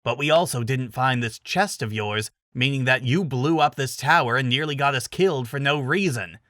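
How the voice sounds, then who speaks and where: A man's voice: medium pitch, moderate pace, fairly bright tone, no room sound, lightly acted. A man playing a grumpy, sarcastic wizard with a dry manner in a fantasy story reading. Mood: threatening. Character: grumpy, sarcastic robed male wizard companion.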